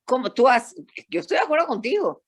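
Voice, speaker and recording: An older woman from Panama with a Panamanian accent, talking as a psychologist guest in a panel discussion and telling stories in an animated, emphatic way. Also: high-pitched, fairly quick, slightly rough. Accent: Panamanian Spanish.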